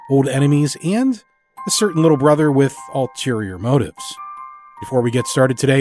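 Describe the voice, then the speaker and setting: A man's voice: mid-range voice, darkish tone. A man hosting a podcast, reading a scripted introduction and announcements. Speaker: podcast host. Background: music.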